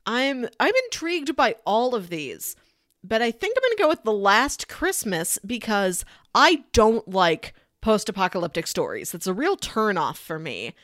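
A woman's voice: medium pitch, sing-song, normal speed, a bit bright, dry recording, clean studio sound. A woman co-hosting a podcast, chatting casually about comics and films. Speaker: podcast co-host.

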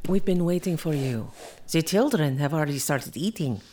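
A woman's voice: low voice, lively intonation, moderate pace, mellow, slight background noise. A woman acting in an audio drama as an evasive, defensive young wife and mother. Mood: cool, reproachful.

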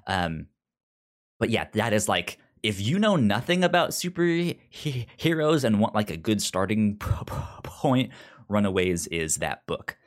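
A man's voice: lowish voice, very animated, unhurried. A man co-hosting a podcast, explaining stories in casual conversation, often repeating words and syllables as he goes. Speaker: comics podcast co-host. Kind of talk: casual chat, retelling and explaining comic plots.

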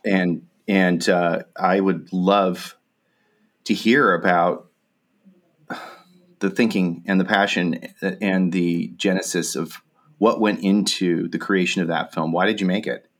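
Brief: deep-voiced male host, friendly and encouraging, video call. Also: fairly even intonation, takes it slowly. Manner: warm, encouraging, wordy questions. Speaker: interview host. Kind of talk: friendly interview.

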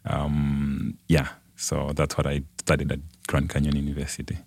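A young man with a Malawian accent and an exceptionally deep voice. He tells his life story in a conversational way as a guest, in a dry recording with hardly any room sound. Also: monotone, moderate pace, gravelly, a little mellow, husky, dry recording. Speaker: podcast guest.

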